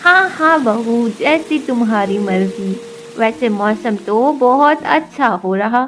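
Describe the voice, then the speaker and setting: A woman voicing a kind, caring elderly mother-in-law in an animated story, speaking slowly and soothingly. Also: on the high side. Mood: gentle. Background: background music.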